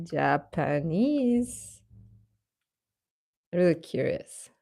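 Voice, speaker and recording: A woman's voice: deep voice, sing-song, drawn-out words, vocal fry, mellow, no echo. A woman hosting a livestream, chatting casually and playfully with her viewers. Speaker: livestream host. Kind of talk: casual chat with live viewers.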